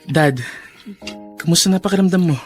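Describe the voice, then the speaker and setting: A Filipino man playing a devoted, emotional son in a radio drama, husky and earnest. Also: sits high, moderate pace, close to an everyday voice. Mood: caring, concerned. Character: devoted, emotional son shocked by his father's confession. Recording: music under the voice.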